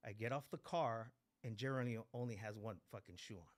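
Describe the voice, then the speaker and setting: A man in his forties with a fairly low voice shares a candid personal testimony. He talks bluntly, swears freely and repeats key phrases to drive them home. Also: fairly quick. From Mexico, raised in East Los Angeles. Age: 40s. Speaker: guest speaker at a recovery meeting.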